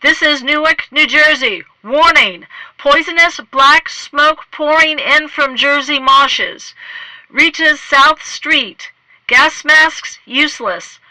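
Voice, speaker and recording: A woman's voice: high-pitched, slow, sharp tone, dry recording, full character voice, poor audio. A woman playing an emergency radio operator in a radio drama, announcing in a clipped, urgent way. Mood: urgent, official. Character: brisk emergency radio operator issuing warnings.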